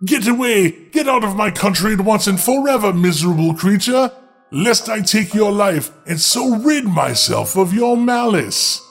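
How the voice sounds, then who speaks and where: A man's voice: very high-pitched, lively intonation, moderate pace, faint music behind, voice pushed up, heavily acted. A man voicing a proud, indignant fairy-tale king with haughty, declamatory scorn. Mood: indignant, scornful.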